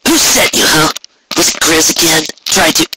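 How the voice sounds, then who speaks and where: A man's voice: on the high side, expressive, moderate pace, very noisy, voice pushed up, close to an everyday voice. A man voicing a cynical young female pony drug dealer with cocky, brusque street talk, in a story reading. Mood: threatening.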